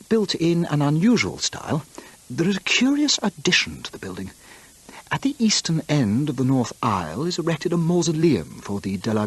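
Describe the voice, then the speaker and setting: A bookish travel writer in a radio play. He is genteel and precise, musing over his discoveries in a very breathy, rough-edged voice. His pitch moves about in an animated way. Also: no echo. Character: inquisitive English travel writer and amateur antiquarian, bookish, chatty. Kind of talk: radio drama acting and narrated journal.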